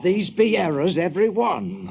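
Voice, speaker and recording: A man's voice: high-pitched, moderate pace, vocal fry, a little mellow. An actor playing an agitated medieval village peasant, insistent and archaic in his speech, in an audio drama. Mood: scornful. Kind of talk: full-cast audio drama.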